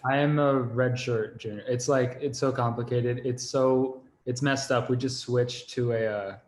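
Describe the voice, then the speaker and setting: A man's voice: medium pitch, fairly even intonation, a little slow, darkish tone, slight background noise. A twenty-year-old man from Connecticut with an American accent, giving casual answers on a video call. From Connecticut, United States. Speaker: dating show contestant, college student. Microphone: video call microphone.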